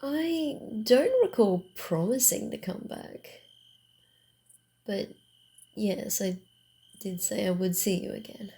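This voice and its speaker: A female vampire on the run, acted out by a woman, speaks in a slow, gravelly murmur for an ASMR roleplay. She is proud and teasing, yet halting and a little flustered, with a soft, mellow tone. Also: medium pitch, very animated. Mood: casual, teasing. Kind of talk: ASMR roleplay, acted one-sided dialogue.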